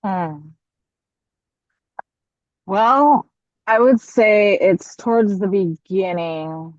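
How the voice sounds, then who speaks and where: Slow, low-voiced woman, dull lo-fi audio. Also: dry recording. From United States. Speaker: guest, indie author. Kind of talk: casual interview answers.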